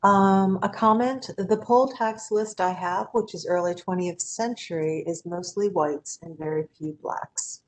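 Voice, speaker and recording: A woman's voice: lowish voice, a little slow, hardly any pauses, a little hiss or hum. A woman moderating an online question session for a library program, brief and procedural. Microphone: video call.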